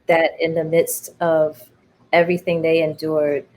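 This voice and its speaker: Reflective American woman, slightly low voice. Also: flat delivery, darkish tone, slight background noise. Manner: thoughtful, emphatic, pausing and repeating phrases. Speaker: interview guest, playwright. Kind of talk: reflective personal conversation.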